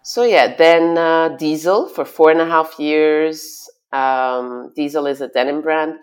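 A woman's voice: low voice, slow pace. A woman raised in Belgium, guest in an interview, talking about herself with many fillers and restarts. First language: French. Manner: hesitant, many fillers and restarts, laughs often. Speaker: guest, stylist. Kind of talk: conversational interview.

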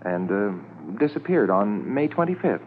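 A fussy, obliging male hotel manager in a vintage radio play. The voice is on the low side, chatty and hesitant, heard through a thin sound with faint noise. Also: very animated, measured pace, narrow, tinny audio. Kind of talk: 1950s radio drama acting.

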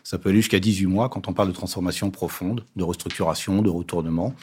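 Husky, low-voiced man in his sixties. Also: steady tone, fast talker, a little mellow. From Paris, France. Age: 60s. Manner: confident, hesitant with frequent fillers. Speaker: guest, company president. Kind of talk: radio business interview.

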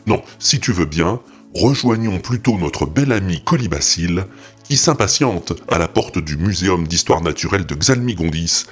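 A man's voice: deep voice, animated, normal speed, faint music behind. A male narrator telling a story playfully, addressing the listener directly. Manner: playful, confiding.

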